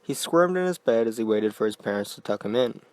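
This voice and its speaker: Male horror narrator, mid-pitched, measured and quiet, dry booth-style recording. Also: lively intonation, no echo. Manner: quiet, deliberate storytelling.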